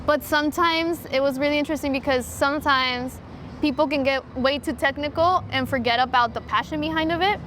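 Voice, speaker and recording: A woman's voice: sits high, a little fast, a bit bright, a little hiss or hum. A young woman, a mariachi singer talking casually in an interview and switching between English and Spanish mid-sentence.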